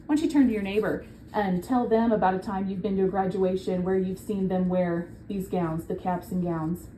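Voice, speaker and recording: A woman's voice: medium pitch, fairly even intonation, normal speed, warm tone, slight background noise, poor audio. A woman reading a children's book aloud like a teacher, explaining simply and prompting her listeners with questions.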